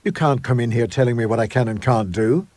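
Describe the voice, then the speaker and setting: Man acting a husky, proper country gentleman. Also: medium pitch, a little fast, no room sound, natural voice. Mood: indignant, proper. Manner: polite, indignant, old-fashioned gentlemanly. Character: blustering, well-bred country house owner, an anxious husband.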